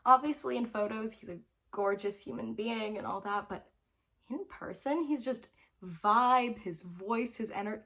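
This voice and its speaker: A woman's voice: on the high side, unhurried, fairly bright tone. Bubbly, rambling teenage girl. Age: teens. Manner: bubbly and rambling, full of "like" fillers; giggly, laughs often between phrases. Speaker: vlogger. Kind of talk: casual storytelling to camera.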